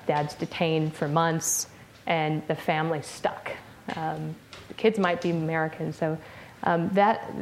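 A woman speaks to a room in a relaxed lecturing style, her voice sitting on the low side. She trails into fillers and restarts as she thinks aloud. A faint hiss sits under the recording. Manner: conversational, many fillers and restarts. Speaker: speaker giving a talk. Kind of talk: academic lecture with audience questions.

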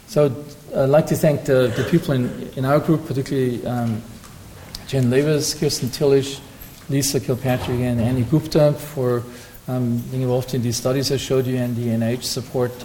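A man presents research findings in an academic lecture and takes audience questions. His voice is mellow and dark, and his delivery is hesitant, full of ums and restarted phrases. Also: mid-range voice, fairly even intonation, moderate pace, a little hiss or hum, rough recording.

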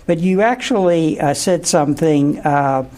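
A man's voice: on the high side, measured pace, darkish tone. Hesitant male interview host. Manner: hesitant questions with many uhs and restarts. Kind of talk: academic interview hosting.

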